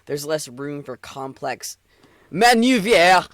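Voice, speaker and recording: A man's voice: a little high, big pitch swings, takes it slowly, fairly bright tone, dry recording, studio quality. A man streaming, switching between casual, sweary banter and narrating a story aloud. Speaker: streamer reading fan fiction aloud.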